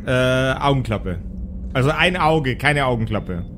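A man's voice: medium pitch, expressive, unhurried, music in the background. A man narrating as game master in an improvised tabletop audio play, feeling his way through descriptions over a noisy recording. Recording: clearly noisy background.

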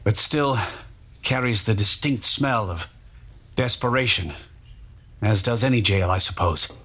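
A man playing a formal, deliberate nineteenth-century newspaper publisher reporting in a historical dramatization. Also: lowish voice, a bit bright, breathy edge. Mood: grave, observant.

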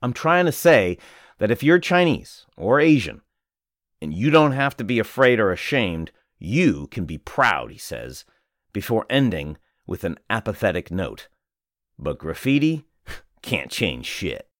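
A man narrating a magazine article aloud as a reader, in an even, measured scripted narration. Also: lowish voice, no room sound, studio quality.

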